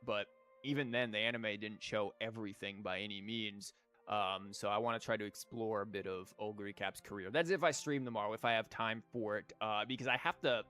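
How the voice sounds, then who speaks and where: A young man wraps up a gaming livestream, talking casually into a desk mic and rambling with lots of restarts. His voice sits in an ordinary middle range. Quiet game music runs in the background. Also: medium pitch. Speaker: livestream host. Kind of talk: casual gaming stream wrap-up.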